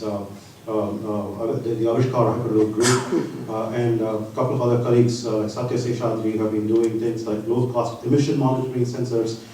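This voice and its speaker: A man presents his research slides at a conference, pausing and restarting often as he goes. His tone is dark and mellow. The sound comes from well back in a large, reverberant hall with a faint hiss. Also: medium pitch, far from the mic. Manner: halting, with frequent pauses mid-sentence.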